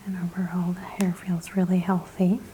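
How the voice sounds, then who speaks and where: A woman whispering gently in an ASMR spa roleplay, softly and slowly describing each thing she does in a breathy voice. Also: on the low side, little pitch movement, a little hiss or hum. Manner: slow, gentle, step-by-step narration of actions. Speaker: ASMR performer playing a spa practitioner.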